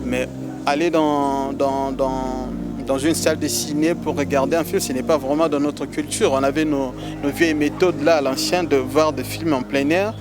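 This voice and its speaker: A man's voice: fairly high pitch, steady tone, normal speed, over loud music. A Congolese man from DR Congo, a festival organizer answering interview questions in a hesitant way, with many filler sounds and repeated words. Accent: Congolese French.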